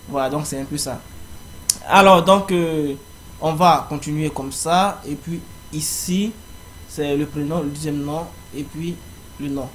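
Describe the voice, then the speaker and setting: A young man with a slightly high voice guides viewers through an application form step by step. He speaks haltingly and fills gaps with hesitation sounds. A faint background noise runs under his voice. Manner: halting, frequent fillers. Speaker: tutorial presenter. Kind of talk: screen-recorded walkthrough.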